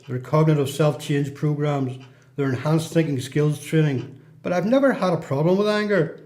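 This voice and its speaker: A man with a Belfast accent playing a guarded, streetwise repeat prisoner in a radio play, speaking in a confiding, measured, plain-spoken way. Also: on the high side, darkish tone, close to an everyday voice. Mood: aggrieved, restrained. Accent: Belfast Northern Irish.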